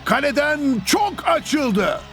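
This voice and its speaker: An excitable match commentator from a Turkish cartoon. He calls the football in a very high voice with music faintly underneath. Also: takes it slowly, creaky, full character voice. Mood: thrilled.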